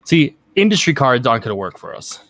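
A man's voice: medium pitch, very animated, fast talker, a bit bright, no echo. Laid-back young man, dry close sound. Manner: laid-back, swears freely, laughs often. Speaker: podcast co-host. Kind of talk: casual podcast chat.

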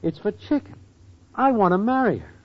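An American actor playing a broke, scheming boyfriend in old-time radio comedy, slangy and wheedling. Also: male voice, high-pitched, sing-song, moderate pace. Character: scheming, broke, fast-talking male sitcom boyfriend. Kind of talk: 1940s-50s radio comedy acting. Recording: dull, noisy old radio recording.

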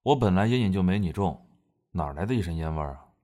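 A man's voice: low-pitched, animated, fast, warm tone. An actor playing a young, reserved male general who speaks tersely in a modern audio drama. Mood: dry, familiar.

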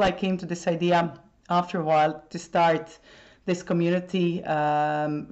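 Woman, Croatian accent, low voice, hesitant, many fillers, muffled lo-fi sound. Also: darkish tone. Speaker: language teacher, guest. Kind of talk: podcast interview. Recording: dull lo-fi sound.

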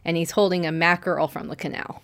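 A woman's voice: fairly low pitch, steady tone, quick pace, a bit bright. A woman podcast host giving a casual, chatty recap, telling the story with acted-out quotes and plenty of "like".

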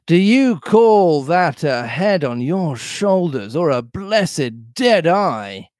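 A man reading aloud as a wily old-fashioned storybook pirate, blustering and emphatic. His pitch rides high and swoops widely, and he drags his words out slowly. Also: big pitch swings, darkish tone, no echo, studio quality. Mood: scolding, bullying. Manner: drawn-out, emphatic, swooping delivery. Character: Long John Silver, blustering, wily male pirate sea-cook. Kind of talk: storybook read-aloud character voice.

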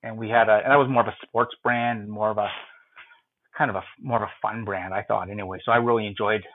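A man's voice: lowish voice, steady tone, quick pace. A man in his fifties from Northern Ontario with a Canadian accent, telling his career story in an interview with many fillers and restarts. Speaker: guest, former brewery executive. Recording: tinny phone-like line.

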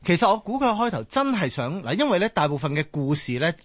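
A man's voice: high-pitched, sing-song, fast talker, fairly bright tone, dry recording. A man with a Hong Kong Cantonese accent explaining ideas in a casual panel discussion, often checking that listeners follow. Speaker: talk show panelist.

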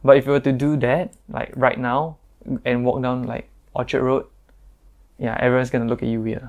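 A young man with a Singaporean English accent and a naturally deep voice, chatting casually as a guest, pausing often with fillers and restarts. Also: flat delivery, creaky, a little mellow. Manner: hesitant, many fillers and restarts. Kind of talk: casual chat.

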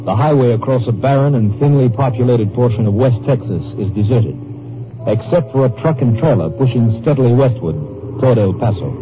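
A man narrating a scripted 1950s radio drama in a measured, formal way. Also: medium pitch, expressive, music in the background. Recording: noisy, muffled 1950s radio recording.

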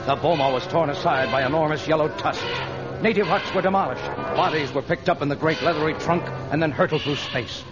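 Dramatic male radio narrator over loud music. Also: fairly high pitch, brisk. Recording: muffled old radio recording.

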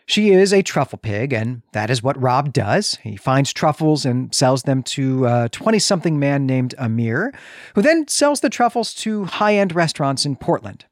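A man's voice: medium pitch, sing-song, moderate pace, no echo, clean studio sound. A man co-hosting a podcast in an earnest, emphatic, conversational style. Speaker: podcast co-host. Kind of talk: conversational film discussion and plot synopsis.

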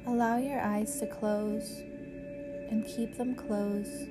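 A woman guiding a yoga nidra meditation in a measured, soothing, instructional way with pauses. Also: medium pitch, level tone, over music. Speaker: yoga nidra meditation guide and podcast host. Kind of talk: guided meditation narration.

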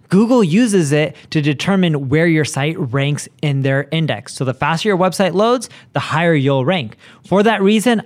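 A man reading a sponsor spot for a podcast in an upbeat, pitching way. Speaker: sponsor ad reader.

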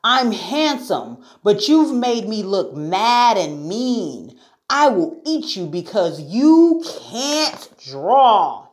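A woman's voice: low-pitched, very animated, drawn-out words, heavily acted. A woman voicing a bad-tempered, bossy male lion in a children's story, roaring, snapping and jeering his words. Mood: growling, offended.

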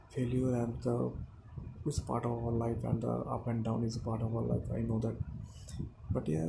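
A young man from India with an Indian accent and Hindi as his first language, speaking in halting, non-fluent English full of fillers in a personal monologue to his listeners. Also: mid-range voice, creaky, background noise. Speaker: audio diary speaker.

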